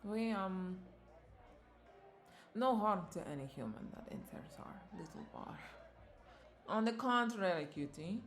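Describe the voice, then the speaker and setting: A woman playing a flirty, doting vampire bartender in a roleplay audio drama, coaxing and affectionate as she speaks to the listener. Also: fairly high pitch. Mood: amused, reassuring. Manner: coaxing, affectionate, lingering over words with soft chuckles.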